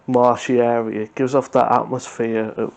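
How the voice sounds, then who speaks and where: A man's voice: mid-range voice, flat delivery, normal speed, creaky, darkish tone. A man hosting a reaction video, giving a casual, unscripted review in a hesitant, rambling, matter-of-fact way. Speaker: YouTube reaction host.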